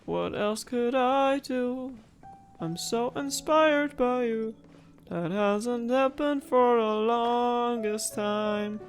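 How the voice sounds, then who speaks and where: A woman's voice: fairly high pitch, very slow, faint music behind. A young woman doing casual, playful let's play commentary with a dramatic, emotive way of talking. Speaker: gaming streamer. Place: indoors over game audio.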